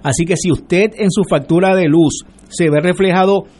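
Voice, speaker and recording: A man with a Puerto Rican accent, a solar company representative giving a persuasive radio interview pitch and leaning on fillers like "eh". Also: normal speed, darkish tone. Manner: persuasive, filler-heavy with "eh" and "¿verdad?".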